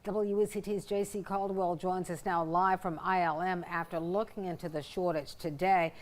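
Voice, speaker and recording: A woman's voice: lowish voice, steady tone, moderate pace. An American woman working as a news anchor, reading a scripted introduction to a report.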